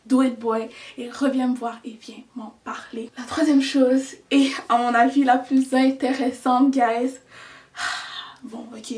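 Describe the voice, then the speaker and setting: A woman's voice: high voice, recorded from a distance. A young woman giving earnest, chatty life advice in a vlog, slipping in English words. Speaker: vlogger.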